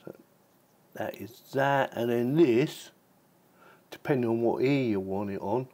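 A man's voice: mid-range voice, a little slow, dark tone. A man hosting a casual product review, chatting informally and thinking aloud as he demonstrates the gear. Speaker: tech reviewer and channel host.

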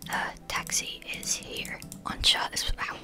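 A woman, whispering casually through language-app practice, halting and swearing now and then. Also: bright, forceful tone. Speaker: language learner.

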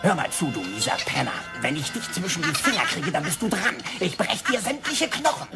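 A grumpy middle-aged cartoon bartender. He is gruff and blustering, with a short fuse. His pitch leaps about, and music runs behind him. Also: huge pitch swings, a little fast, exaggerated voice. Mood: furious. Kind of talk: German-dubbed cartoon acting.

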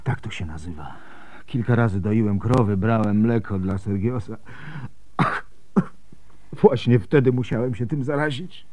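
Grieving male veteran for radio drama, acted: bitter, brooding, unhurried delivery in a dry studio. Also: fairly low pitch, a little mellow, no echo, close to an everyday voice. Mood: puzzled. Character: grieving, weary, bitter former soldier. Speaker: actor in a radio play.

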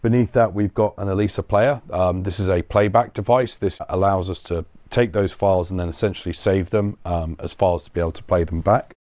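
A man's voice: low-pitched, fairly even intonation, fairly quick, hardly any pauses, darkish tone, a little hiss or hum. A man in his thirties giving an informal, conversational technical explanation as a showroom guide. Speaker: showroom guide, company representative. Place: indoor audio showroom and studio room.

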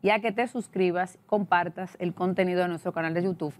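A woman, hosting a TV interview show with measured, formal questions in a studio. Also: lowish voice, steady tone. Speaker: TV interview host. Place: TV studio set.

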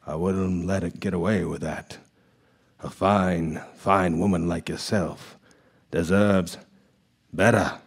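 Man playing a husky, slow, sinister lumberjack. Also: deep voice, steady tone, full character voice. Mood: sly, menacing. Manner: slow, insinuating, folksy. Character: sinister, seductive backwoods lumberjack stranger, slyly menacing. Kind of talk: live radio drama acting.

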